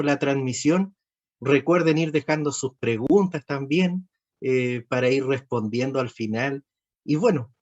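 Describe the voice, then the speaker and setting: Pastor, male, solemn and earnest prayer delivery, slightly raised pitch, dull lo-fi audio. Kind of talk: prayer and greeting.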